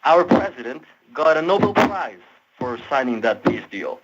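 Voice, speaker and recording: A man's voice: medium pitch, big pitch swings, breathy edge. Earnest young Colombian man, dull lo-fi sound. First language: Spanish. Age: 20s. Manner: earnest, halting, repeats words as he searches for phrasing. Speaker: tech support agent. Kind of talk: impassioned persuasive argument on a support call. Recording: dull lo-fi call audio.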